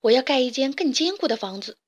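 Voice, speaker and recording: A woman voicing the middle of three little pigs, a stubborn, eager piglet who talks quickly. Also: sits high, lively intonation, dull audio.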